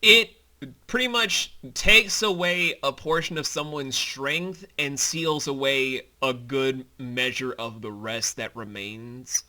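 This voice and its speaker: A man who directs a fantasy audio series, co-hosting a casual behind-the-scenes commentary in an animated, colloquial way. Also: medium pitch, slow.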